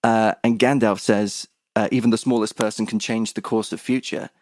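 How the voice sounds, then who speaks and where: A man's voice: fairly low pitch, steady tone, normal speed, a little mellow, no room sound. A man co-hosting a podcast from home over an internet call, chatting about a film and stumbling through quotes with fillers. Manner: chatty, many fillers while recalling quotes. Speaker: podcast co-host. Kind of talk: casual chat about a film.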